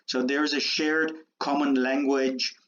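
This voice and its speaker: A man born and raised in the Netherlands giving a university lecture, halting with many fillers and restarts. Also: medium pitch, level tone, fairly bright tone, a little hiss or hum. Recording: dull lo-fi lecture audio.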